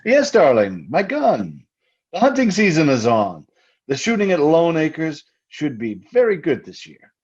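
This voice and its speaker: Man playing breezy, menacing husband, swooping pitch. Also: huge pitch swings, unhurried, darkish tone, breathy edge, lo-fi sound, full character voice. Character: jovially menacing husband, keen hunter, teasing with murder talk. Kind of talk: old-time radio drama acting.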